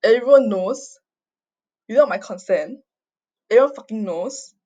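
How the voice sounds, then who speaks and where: A young adult woman from Singapore who grew up overseas, giving an unscripted personal confession in a halting, repetitive, sweary way, sounding agitated and distressed. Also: fairly high pitch, dark tone. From Singapore, raised mostly overseas. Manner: halting, repetitive, sweary, often tags phrases with "okay?". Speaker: vlogger giving a personal account. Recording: dull lo-fi home recording.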